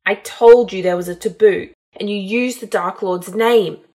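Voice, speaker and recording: A woman's voice: mid-range voice, moderate pace, lightly acted. A woman voicing a disdainful, irritated young wizard man in an audiobook, curt, taunting and snarling. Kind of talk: dramatised audiobook narration.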